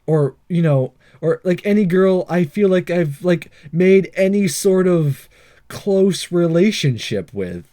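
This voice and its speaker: A man's voice: high-pitched, lively intonation, takes it slowly, mellow. A man giving casual, hesitant and wry game commentary, reading some of the text aloud as he plays. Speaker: let's-play host.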